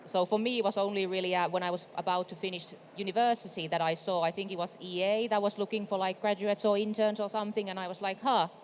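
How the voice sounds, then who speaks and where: A woman's voice: mid-range voice, fairly even intonation, fast talker, few pauses. A woman from Finland with a Finnish accent, speaking on a conference panel in a chatty way with frequent fillers. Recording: reverberant hall, faint noise.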